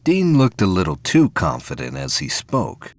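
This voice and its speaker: A very low, husky man narrates a science fiction audio story in a close, dry recording. He reads scripted prose as the storyteller, with wide swings in pitch. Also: wildly swooping pitch, a little slow, dry recording.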